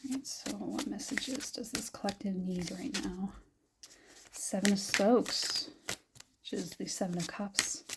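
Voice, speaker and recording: A young adult woman giving a gentle, musing tarot reading, her voice a little raspy and scratchy, often laughing softly. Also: mid-range voice, a little slow. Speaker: tarot reader. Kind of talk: soft-spoken tarot reading.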